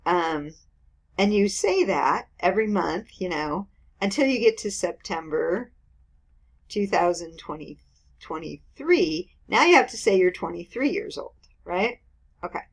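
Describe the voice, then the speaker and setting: A woman's voice: lowish voice, animated, measured pace, husky. Chatty woman in her fifties teaching math. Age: 50s. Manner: chatty, explains with everyday examples, frequent "okay" and "right". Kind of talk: informal screen-recorded math lecture.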